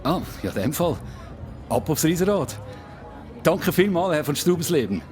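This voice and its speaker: A man's voice: a little high, sing-song, moderate pace, a little mellow. An actor playing a polite, slightly reserved young man in a Swiss German children's radio play. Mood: polite, surprised. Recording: faint music behind.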